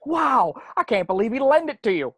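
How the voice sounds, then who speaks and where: A man's voice: very high voice, very animated, moderate pace, a bit bright, slightly rough, heavily acted. A man playing a snide, haughty squid cashier in a cartoon table reading, sarcastic and needling. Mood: smug, needling.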